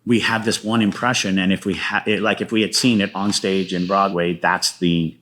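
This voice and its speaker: A man talking casually as a playwright guest in an interview, enthusiastic, with a rambling, halting delivery. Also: sits low, level tone, fairly bright tone.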